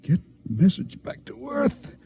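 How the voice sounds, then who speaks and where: An actor playing a dying doctor in 1950s radio drama, gasping out broken, halting phrases. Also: male voice, high voice, wildly swooping pitch, full character voice. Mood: weak, urgent. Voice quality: breathy, gasping. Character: dying male doctor gasping out a last warning. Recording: muffled, noisy 1950s radio recording.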